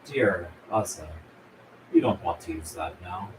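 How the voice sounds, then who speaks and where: In a roomy, echoing, noisy recording, a man acts out a coolly calculating accountant in a survival horror film. His voice is on the low side, and his phrasing is measured, formal and condescending. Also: animated. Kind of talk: table read of a film script. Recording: roomy echo with clear background noise.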